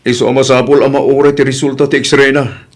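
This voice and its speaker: Family-drama father played by a male actor, Ilocano accent, mid-pitched, earnest and plaintive. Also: flat delivery, brisk, natural voice. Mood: worried, grateful. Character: earnest, weary husband and father left at home. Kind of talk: Ilocano audio comedy-drama acting.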